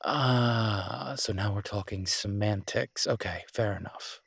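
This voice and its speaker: Low, husky, tender werewolf boyfriend played by a man. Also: takes it slowly, a bit bright, dry recording, studio quality, full character voice. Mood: flustered, earnest. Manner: hesitant, tender, conversational, lightly teasing. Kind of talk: romantic roleplay audio, intimate casual conversation.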